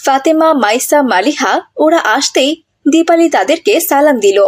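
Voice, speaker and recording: A woman's voice: high-pitched, lively intonation, unhurried. A woman reading scripted narration for a cartoon story.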